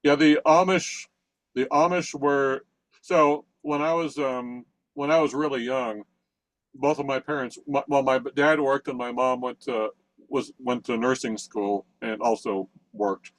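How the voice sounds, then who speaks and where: A man's voice: medium pitch, steady tone, a little slow, no echo, poor audio. An older man from central Pennsylvania telling a long story in casual chat, rambling with many restarts and chuckles, on a video call. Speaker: participant in a technical session.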